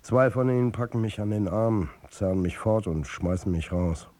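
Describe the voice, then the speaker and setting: An actor playing an embattled football coach who narrates his own downfall in a radio drama, tersely and bitterly, with a husky voice. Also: fairly low pitch, moderate pace, mellow, natural voice. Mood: wry, tense. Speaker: first-person narrator.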